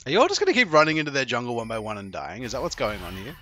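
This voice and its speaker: A man's voice: medium pitch, sing-song, rapid-fire, sharp tone, a little hiss or hum. A man in his thirties coaching on a stream, reviewing a player's replay with sarcastic, profane jokes, talking into a headset at home. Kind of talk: live-streamed replay commentary.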